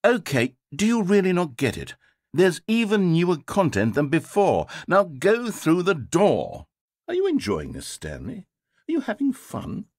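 British game narrator, wry and wistful, pitch swings all over, dry booth sound. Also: male voice, wildly swooping pitch, measured pace, a little mellow, dry recording, exaggerated voice. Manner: wry, theatrical, swinging between wistful and sarcastic. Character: wistful, needy male narrator voice, self-absorbed. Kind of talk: scripted video game narration.

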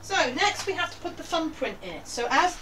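A woman's voice: very high voice, sing-song, brisk, a bit bright. Older woman, grandmotherly and encouraging, casually instructing children; sits away from the mic in a noisy kitchen. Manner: encouraging, patient. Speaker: grandmother leading children's baking. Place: small home kitchen. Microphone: handheld camera a few feet away. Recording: noisy handheld camera, distant mic.

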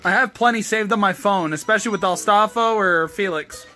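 A man's voice: sits high, fairly quick, sharp tone, faint music behind. A young man in casual, crude, teasing banter while filming friends on a phone in a bedroom. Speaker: video maker filming friends. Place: small bedroom, indoors. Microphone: handheld phone camera.

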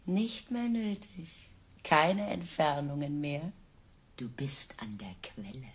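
An actress playing an intimate, teasing, watchful wife in a radio play, with a husky voice. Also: female voice, low voice, slow pace, full character voice. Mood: knowing.

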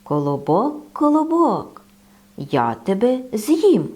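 A woman voicing a big, hungry male bear in a children's fairy tale, with heavy, drawn-out words. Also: mid-range voice, very animated, a little mellow. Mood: menacing.

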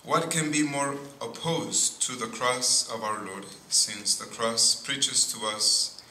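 A middle-aged man, a priest giving a sermon at a pulpit, speaking in slow, deliberate phrases with pauses and frequent asides of "see". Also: medium pitch, bright, forceful tone. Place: church sanctuary at a pulpit. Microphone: boom mic at pulpit. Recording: echoey church with faint noise.

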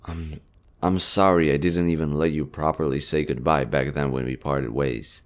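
A man acting a kind, earnest young army general in a romantic audio roleplay, hushed, halting and emotive. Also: extremely low pitch, steady tone. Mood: apologetic, sincere.

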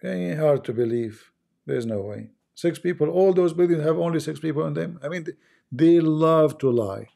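A man's voice: a little high, normal speed, vocal fry, warm tone. A man with a Middle Eastern accent hosting a casual live stream, talking bluntly and mocking what he reads. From Middle East.

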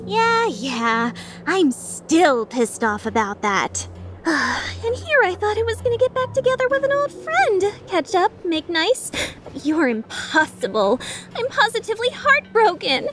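A woman plays a mischievous young mercenary villainess in a game scene. Her voice is high and swings widely as she teases with sardonic, fake sincerity. Music plays faintly behind. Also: sing-song, a little slow, darkish tone. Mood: sly, sardonic. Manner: teasing, mock-sincere, sardonic. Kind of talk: fan dub of game dialogue.

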